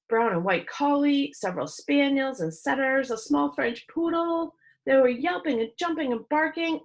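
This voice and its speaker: Expressive woman reading a children's story. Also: sits high, rough recording. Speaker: read-aloud storyteller. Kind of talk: expressive children's book narration.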